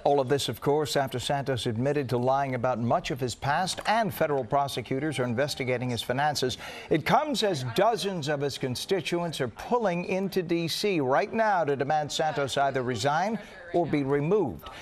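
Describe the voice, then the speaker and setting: A man anchoring the news in a studio, reading scripted copy in a crisp, measured way. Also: on the high side, lively intonation.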